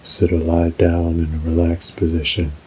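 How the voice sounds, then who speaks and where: A man narrating a scripted guided meditation in a soothing, measured, unhurried way. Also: deep voice, monotone, darkish tone, slight background noise.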